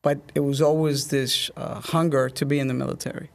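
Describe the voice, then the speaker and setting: A man's voice: mid-range voice, level tone, brisk, creaky. A middle-aged man telling his story as a guest in a conversational interview. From Dominican family roots. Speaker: guest, army officer.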